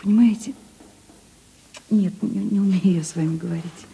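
An actress playing an earnest, dreamy, shyly admiring woman in a radio play, halting and wistful. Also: mid-range voice, fairly even intonation, creaky, mellow, slight background noise, close to an everyday voice. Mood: earnest, flustered. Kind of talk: Russian radio play acting.

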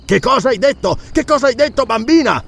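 A man's voice: very high voice, animated, fairly quick, gravelly, a bit bright, voice pushed up, exaggerated voice. A man voicing a violent, half-mad tyrannical count with a menacing manner in a storybook reading. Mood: furious disbelief. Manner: raging, menacing, roaring.

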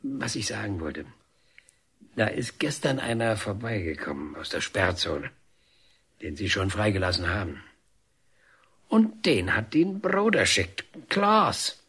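A man playing a calm, patient painter in a radio drama, fatherly and gruff, with a measured way of talking. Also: fairly low pitch, full character voice. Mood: patient, reassuring.

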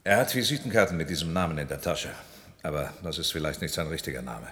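A man playing a gruff, suspicious police commissioner in a crime radio play, probing and insistent, with a gravelly voice. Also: lively intonation, fast talker, a bit bright. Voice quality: gravelly, low. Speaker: supporting actor. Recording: long hall-like reverb.

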